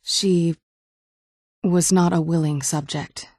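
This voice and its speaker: Ancient vampire noblewoman, youthful, slightly low pitch, wry, guarded, conversational, game dialogue, dry studio sound. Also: steady tone, slow, warm tone, dry recording. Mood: wry, reflective. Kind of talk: video game character dialogue.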